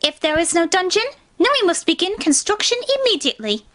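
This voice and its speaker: A woman's voice: very high voice, big pitch swings, brisk, fairly bright tone, heavily acted. A woman voicing a haughty, tyrannical pony princess with a pompous, demanding manner in a dramatic story reading. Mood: petulant, demanding. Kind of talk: dramatic fan fiction reading.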